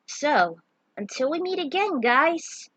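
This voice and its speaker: A woman's voice: sits high, sing-song, takes it slowly, a bit bright, no room sound, rough recording. A woman who writes fan fiction, reading her own story aloud in an earnest, amateur way with small slips, then chatting casually to her readers.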